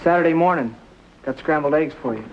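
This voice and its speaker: A man's voice: fairly high pitch, fairly quick, creaky. A young man playing a plainspoken, bossy but caring teenage big brother in a 1950s TV drama. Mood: earnest. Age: teens. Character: protective, scolding sixteen-year-old big brother. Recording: muffled vintage soundtrack, very noisy.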